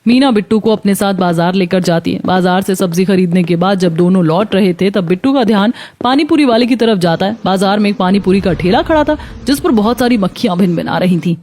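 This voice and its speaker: A woman's voice: medium pitch, fairly quick, hardly any pauses, darkish tone. A woman narrating a scripted children's moral story.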